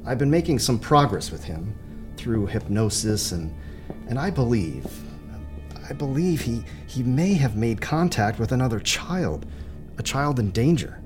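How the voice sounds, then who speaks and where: A man playing an earnest, troubled psychiatrist in an audio drama, speaking in a measured, polite, halting way. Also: mid-range voice, lively intonation, music in the background, close to an everyday voice. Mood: hesitant, troubled. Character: earnest, hesitant male psychiatrist, troubled but professional.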